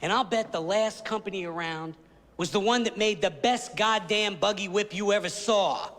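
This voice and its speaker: A man's voice: sits high, normal speed, a bit bright. Blunt businessman in a reverberant hall. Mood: blunt, rhetorical. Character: blunt, folksy businessman addressing stockholders. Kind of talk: film speech.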